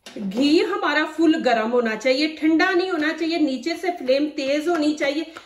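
A woman's voice: high-pitched, moderate pace, reverberant, distant mic. A woman with a Pakistani Urdu accent hosting a cooking demonstration at home, chatty and instructive, often saying religious phrases. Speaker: cooking channel host. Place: home kitchen.

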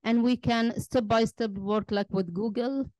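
A woman's voice: fairly high pitch, fairly even intonation, a little slow, a little mellow, no room sound, poor audio. A woman speaking on a conference panel, hesitant, with many fillers and restarts. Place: conference room.

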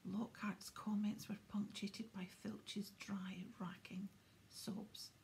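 A woman's voice: mid-range voice, flat delivery, takes it slowly, vocal fry. Woman whispering a storybook. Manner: soft, unvoiced whisper. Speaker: audiobook reader.

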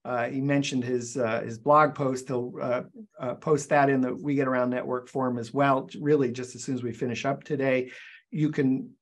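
An older man hosting a video call interview, talking in halting stops and starts with many fillers. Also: medium pitch, little pitch movement. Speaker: show host and forum founder. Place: indoors, virtual backdrop. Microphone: webcam on video call.

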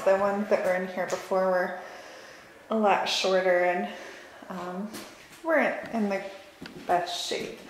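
A woman in her thirties chatting in an upbeat, casual way as she narrates a home renovation tour. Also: mid-range voice. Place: empty house rooms under renovation, indoors. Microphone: handheld camera held by speaker. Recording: echoey empty rooms, clear background noise.

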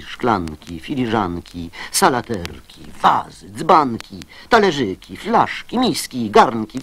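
A man reciting children's verse in a theatrical, deliberate manner. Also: medium pitch, a bit bright, husky.

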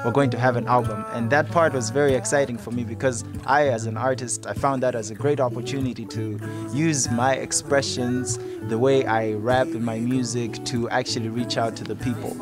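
A guitar track plays clearly behind a young man with a Malawian accent. He speaks at a middling pitch, as in a documentary interview outdoors. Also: fairly even intonation, normal speed, hardly any pauses. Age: 20s. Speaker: youth programme participant and rap artist. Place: outdoors in a campus courtyard. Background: guitar music.

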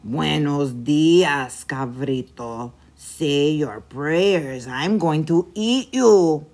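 A woman voicing a male goat-eating monster who drawls his threats slowly and loudly. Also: sits low, full character voice. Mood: menacing. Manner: slow, drawn-out, loud and menacing.

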